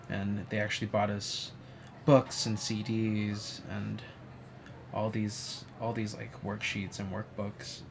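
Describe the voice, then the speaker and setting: A young man tells his own story in a low, fairly flat voice, stopping often to say "um" and start again. He sounds soft and thoughtful. Faint ambient noise sits under the recording. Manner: soft-spoken, many "um"s and restarts. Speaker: interviewee. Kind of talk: reflective personal storytelling.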